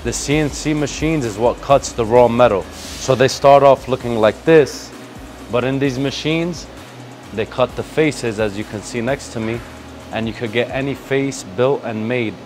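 A man's voice: medium pitch, moderate pace. A man in his thirties hosting a casual, hyped walkthrough tour of a factory, speaking in laid-back street slang. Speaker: vlog host touring a wheel factory. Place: large indoor factory floor. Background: background music.

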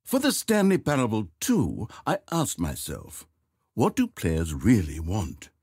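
An actor playing a fussy, needy male game narrator, theatrical and quick to turn from coaxing to exasperated. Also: lowish voice, wildly swooping pitch, a little mellow, breathy edge, no echo, studio quality, heavily acted. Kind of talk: video game voice acting.

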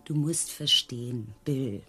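An actress playing a mocking, discontented circus trick rider in a radio play, with a dry sardonic manner. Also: female voice, a bass voice, takes it slowly, fairly bright tone, breathy edge, soft background music, full character voice. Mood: teasing, calculating.

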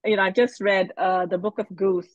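A woman novelist on a video call, reflecting slowly and often restarting her phrases. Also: medium pitch, level tone, no room sound. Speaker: novelist panelist. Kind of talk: casual panel discussion. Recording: dull video call audio.

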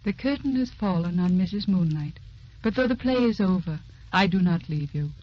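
A gracious, poetic hostess narrates an old radio show. Her voice is fairly low and a little husky, on a dull vintage recording. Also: expressive, normal speed, faint music behind. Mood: lofty, intimate. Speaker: host.

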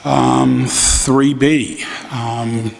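A middle-aged man chairs a meeting in procedural, deliberate phrases. His delivery is very even and flat in pitch. The sound rings with long room reverb over faint noise. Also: creaky. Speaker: school board chair. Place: large indoor council chamber. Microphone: gooseneck microphone on dais.

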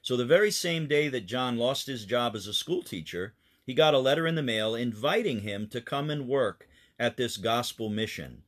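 A man's voice: mid-range voice, animated, moderate pace, few pauses, fairly bright tone. A man, a pastor, telling a children's missionary story in an earnest, explanatory way.

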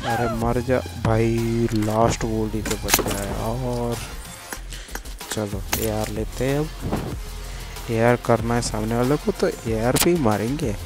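Hoarse man, slow trash talk. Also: mid-range voice. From India. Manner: excited trash-talking street slang. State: hoarse, sore throat. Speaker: gaming channel host. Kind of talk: live gameplay commentary. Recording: commentary over loud game music and gunfire.

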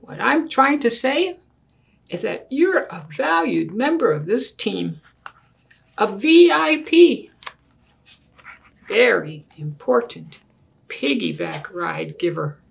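Very gravelly older woman, slow reader. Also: medium pitch, very animated, slight background noise. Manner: deliberate, asking listeners questions. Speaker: story time reader. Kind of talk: read-aloud picture book for children. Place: small indoor room with books and wall quilt.

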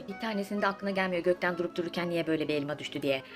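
A woman's voice: on the low side, very fast. Gossipy, theatrical woman storyteller over music. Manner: gossipy, chatty, theatrical, exclaiming asides and rhetorical questions. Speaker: storyteller host of a comedic mythology series. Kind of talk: comedic casual storytelling, retelling myths in slang.

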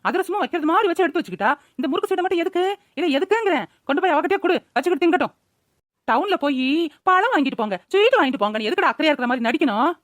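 A woman's voice: sits high, animated, rapid-fire, dry recording, clean studio sound. A woman with a rural Tamil Nadu accent voicing a nagging but doting older village mother in a cartoon. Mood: scolding. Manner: nagging, colloquial, exclaiming. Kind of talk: Tamil cartoon voice-over acting.

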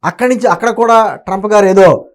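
A man's voice: sits high, quick pace. A man giving opinionated political commentary as an interview guest, emphatic and argumentative, often posing rhetorical questions.

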